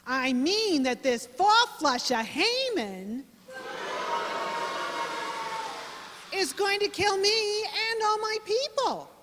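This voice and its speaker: Woman playing high-voiced gangster's moll Dollface Esther. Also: very animated, takes it slowly, a bit bright, a little hiss or hum, full character voice. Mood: accusing. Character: Dollface Esther, brave gangster's-moll queen pleading for her people. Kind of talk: 1930s gangster radio comedy acting. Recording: echoey hall, music.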